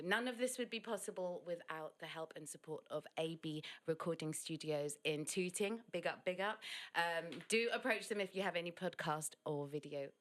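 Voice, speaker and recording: A woman's voice: on the low side, a bit bright. A woman hosting a podcast, reading out guest introductions and asking questions in a playful, affectionate way. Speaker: podcast host. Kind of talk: podcast interview.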